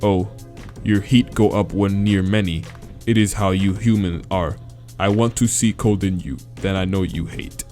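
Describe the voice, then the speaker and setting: A man voicing a big, simple, creepy gray male monster who speaks in broken, halting English. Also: deep voice, faint music behind. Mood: blunt. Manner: broken halting English, short flat sentences.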